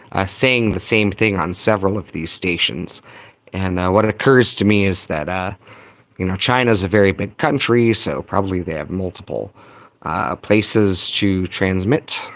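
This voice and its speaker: An American man giving a casual, unscripted demonstration as a hobbyist host, halting and thinking aloud while he talks into a phone. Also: deep voice, creaky. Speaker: hobbyist radio video host. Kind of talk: casual rambling hands-on demonstration.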